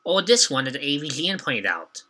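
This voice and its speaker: A man's voice: a little high, sing-song, fairly quick, crowd noise. A young man hosting a scripted retrospective, giving commentary as a video reviewer.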